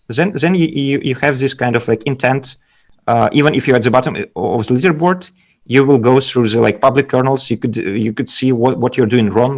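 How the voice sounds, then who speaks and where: A man with a Belarusian accent giving technical explanations as a guest speaker, haltingly, often repeating words. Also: medium pitch. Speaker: guest speaker, data scientist. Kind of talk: technical presentation and interview answers.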